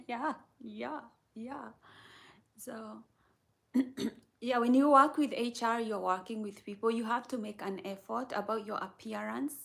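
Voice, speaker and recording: A woman from Kenya talks casually on a live stream, slow and unhurried, drifting from thought to thought. Her voice sits a little high and has a gravelly, creaky edge. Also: fairly even intonation, fairly bright tone. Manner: unhurried, rambling, talks to viewers. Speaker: livestreamer.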